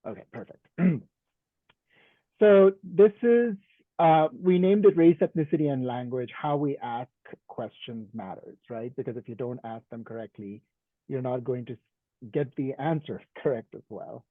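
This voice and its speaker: A man speaking with a hoarse, sore-throated voice as he presents to a video call, easygoing and full of "um"s, stopping now and then to clear his throat. The audio is squeezed and phone-like. It is completely dry, with no room echo. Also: mid-range voice, a little slow. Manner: clears throat often, conversational with fillers. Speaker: guest speaker, physician.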